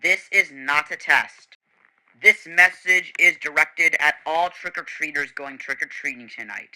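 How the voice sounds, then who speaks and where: A man delivers a mock emergency broadcast in a very bright, forceful voice. His tone is smooth and clear, somewhat high for a man. He reads stern warnings like an announcer, stumbling now and then. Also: a little slow, poor audio.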